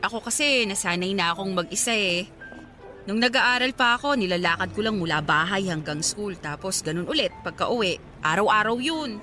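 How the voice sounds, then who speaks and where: An actress playing a shy, sweet young provincial office worker in a radio drama, expressive and girlish. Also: medium pitch, normal speed, faint music behind. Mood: open, wistful. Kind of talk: Tagalog radio drama acting.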